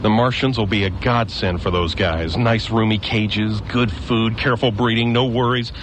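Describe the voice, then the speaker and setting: A grim, scheming survivor, voiced by a man speaks low and blunt, lecturing on how to live under invaders. His tone stays bitter and matter-of-fact. The radio play recording carries a clear hiss underneath. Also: steady tone, normal speed, lightly acted. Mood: scornful. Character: hardened male survivor, former guardsman, grim and scheming. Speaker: stranger with a knife. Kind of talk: local radio drama.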